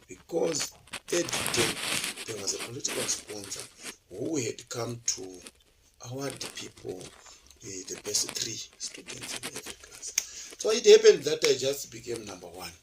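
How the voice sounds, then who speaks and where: Gravelly man from Zimbabwe. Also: mid-range voice, background noise. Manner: digressive, chatty, with frequent fillers and restarts. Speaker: interview guest, lecturer. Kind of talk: relaxed storytelling interview.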